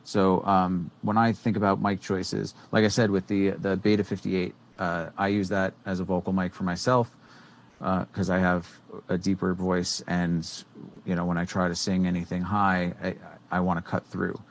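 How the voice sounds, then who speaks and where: Deep-voiced man explaining microphones casually. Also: level tone, moderate pace. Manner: rambling, many fillers and restarts. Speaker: co-host. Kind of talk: casual educational tech talk. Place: small studio room with green screen. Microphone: studio microphone on boom arm.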